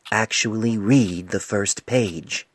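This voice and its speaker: A man voicing a stern, wise older female pony physician in a storybook reading, speaking in a measured, grave, admonishing way. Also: fairly low pitch, dry recording. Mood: sober, commanding.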